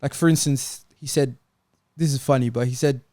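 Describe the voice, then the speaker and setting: A man's voice: medium pitch, fairly even intonation, moderate pace, mellow, no echo. A man talking casually and argumentatively as a panelist on a football show. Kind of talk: casual debate.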